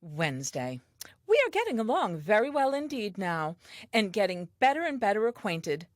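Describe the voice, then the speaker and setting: An actress playing a talkative, eager and self-assured first woman telling her diary in a comic audio play, earnest and expressive. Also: mid-range voice, normal speed, dry recording, clean studio sound, natural voice. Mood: pleased, self-assured.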